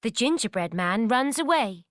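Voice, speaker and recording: Woman, highish voice, animated intonation, deliberate story narration for young learners, very dry sound. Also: no echo. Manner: deliberate, simple phrasing for beginners. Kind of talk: scripted children's story narration.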